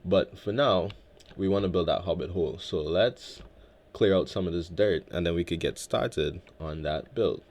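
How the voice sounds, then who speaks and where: A man from Guyana hosting a relaxed game let's play, talking in a laid-back, reflective way with many filler words. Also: sits low, moderate pace. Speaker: Minecraft let's play host. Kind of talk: relaxed gameplay commentary.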